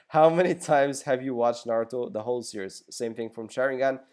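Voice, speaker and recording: A young man whose native tongue is Arabic, hosting a relaxed Q&A for his gaming channel. He rambles along at a mid pitch, tossing in loose jokes and asides as he answers each question. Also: moderate pace, clear, resonant tone. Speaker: gaming YouTuber. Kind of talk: casual Q&A video.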